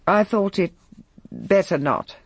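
A reckless, worldly English noblewoman in a radio drama. Her upper-class voice is husky and very animated, brisk and frank, recorded close and dry. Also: lowish voice, no echo, full character voice. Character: bold, reckless, worldly aristocratic English mother.

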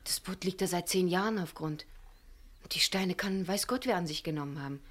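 A woman's voice: lowish voice, a little fast, lightly acted. A woman playing an anxious young woman in a radio crime play. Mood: doubtful. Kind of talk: 1970s German radio play acting.

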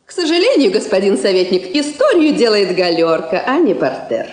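An actress playing an arch, exclamatory countess in a stage drama. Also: female voice, mid-range voice, big pitch swings, normal speed, darkish tone, lightly acted. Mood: arch, delighted. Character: animated aristocratic society woman, a countess. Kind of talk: Soviet stage drama acting. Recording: roomy old drama recording.

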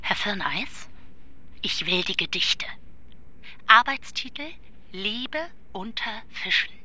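A woman playing a coolly demanding Berlin publisher in a German radio play, crisp, formal and authoritative. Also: mid-range voice, lively intonation, takes it slowly, bright, forceful tone, slight background noise, full character voice.

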